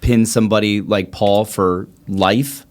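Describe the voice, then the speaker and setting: Low-voiced man, casual chat. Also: fairly even intonation, a little slow, darkish tone. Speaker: podcast participant. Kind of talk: casual conversation.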